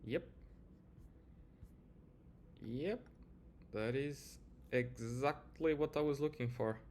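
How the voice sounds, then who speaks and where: A man's voice: medium pitch, slow pace, darkish tone, a little hiss or hum. A man with a Portuguese accent giving a casual screen-share tutorial, speaking informally and hesitantly with lots of filler words. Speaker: software developer presenting a tutorial.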